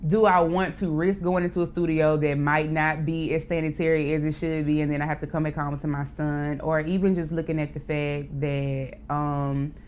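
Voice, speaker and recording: A young woman with a deep voice, chatting candidly as an interview guest, filling her talk with "like" and "um". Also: normal speed, few pauses, a little hiss or hum. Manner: relaxed, candid storytelling with frequent "like" and "um". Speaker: interview guest, poet and rapper. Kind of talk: casual interview chat.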